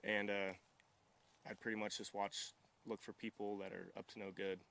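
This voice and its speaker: A man's voice: lowish voice, flat delivery, moderate pace, creaky, a bit bright. A young man giving casual interview answers in a polite, modest, easygoing manner. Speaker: interview guest, military veteran and welder.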